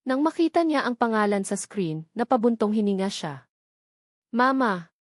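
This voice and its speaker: A woman's voice: medium pitch, big pitch swings, moderate pace, a little mellow, no echo, studio quality. A woman narrating a scripted love story in a dramatic storytelling style. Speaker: narrator of audio love stories.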